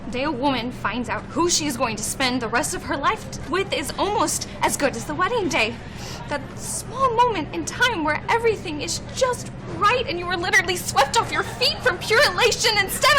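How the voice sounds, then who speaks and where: A woman's voice: very high-pitched, lively intonation, moderate pace, music in the background. A woman playing an indignant, hurt newly engaged woman in an aggrieved, emphatic dramatic monologue. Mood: wistful, let down. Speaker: actor in a monologue reel. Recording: clearly noisy room recording.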